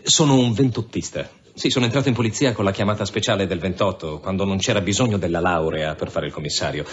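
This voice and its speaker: A man's voice: deep voice, fast, highs cut off, close to an everyday voice. An actor in an Italian radio drama playing a stubborn, curious police commissioner in his late thirties, with an insistent, clipped delivery. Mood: matter-of-fact.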